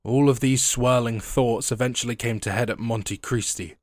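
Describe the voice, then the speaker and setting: A man's voice: medium pitch, fairly even intonation, moderate pace, no echo, studio quality. A young man with a head cold, sounding a bit congested, reading game lore for a video essay and dropping chatty asides along the way. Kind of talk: scripted video essay narration.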